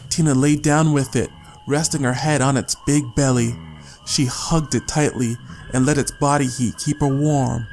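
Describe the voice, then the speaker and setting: Night ambience with crickets and some noise sits behind a man's voice. He narrates an audiobook story in a dramatic, scripted style at a middle pitch. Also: fairly even intonation, normal speed, darkish tone.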